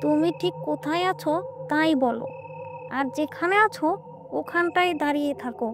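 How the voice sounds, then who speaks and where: Dutiful younger brother for a horror cartoon, acted by a woman: high-pitched, earnest, eager to help. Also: moderate pace, music in the background. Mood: reassuring.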